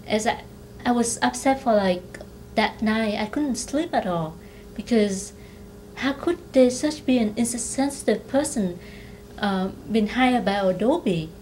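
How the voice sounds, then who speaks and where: A woman giving an informal interview account, halting as she searches for words. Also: fairly high pitch, expressive, darkish tone, rough recording. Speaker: documentary interviewee. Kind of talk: informal recounting of an incident. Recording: faint noise, amateur video interview.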